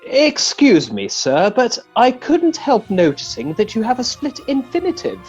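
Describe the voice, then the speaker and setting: A man with an English accent playing a courteous, vague, gently pedantic sergeant in a comedy radio play. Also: extremely high pitch, huge pitch swings, unhurried, breathy edge, dull audio, faint music behind, full character voice. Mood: mildly pedantic. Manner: mild, hesitant, courteous.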